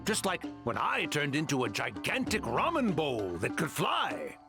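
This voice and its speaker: A man voicing a proud, excitable tanuki mentor in a video game, with a theatrical, blustering and suspicious delivery. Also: on the high side, measured pace, music in the background, exaggerated voice. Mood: proud, instructive. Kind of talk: English video game voice acting.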